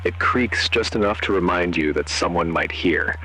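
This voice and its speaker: A man narrating a scripted horror story in the second person, in short, measured, suspenseful phrases. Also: deep voice, pushed and bright, low-quality recording. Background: music.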